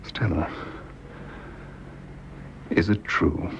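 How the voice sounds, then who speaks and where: An actor playing an earnest, troubled, well-bred British son in a radio drama. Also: male voice, deep voice, steady tone, extremely slow, creaky, heavily acted. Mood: concerned, urgent. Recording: dull, noisy radio drama recording.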